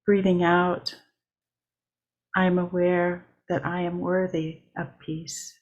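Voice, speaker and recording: Female meditation leader, calm and deliberate, very slow with little pitch movement. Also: highs cut off. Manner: calm, measured, with short deliberate phrases. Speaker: worship leader. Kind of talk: guided meditation.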